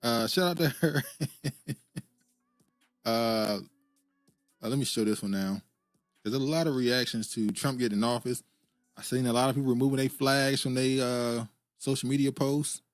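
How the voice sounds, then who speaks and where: A relaxed man hosting a casual show about news clips, easygoing and filler-heavy. His voice sits in a middle range. The recording is close and dry, with no room sound. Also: medium pitch, moderate pace, pauses often, no room sound, faint music behind. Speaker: show host. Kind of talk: casual commentary reacting to clips.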